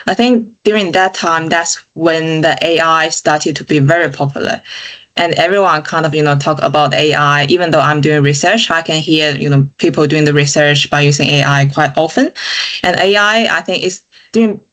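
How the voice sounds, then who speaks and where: A woman's voice: low voice, normal speed, fairly bright tone. A woman from China, a podcast guest speaking thoughtfully and often saying "you know" and "kind of". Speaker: guest, digital engineer. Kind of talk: casual interview chat.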